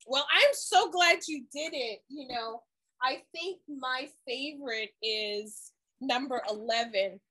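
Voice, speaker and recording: A woman's voice: high-pitched, slow, sharp tone, slightly rough. A woman interviewing a guest, gushing with praise in a casual chat.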